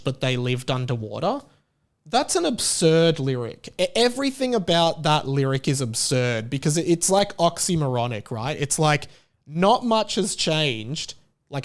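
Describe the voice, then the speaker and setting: A young man with an Australian accent hosts his own podcast and talks through it casually, with jokey, playfully opinionated asides. His voice sits a little high for a man and sounds smooth and resonant. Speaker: podcast host.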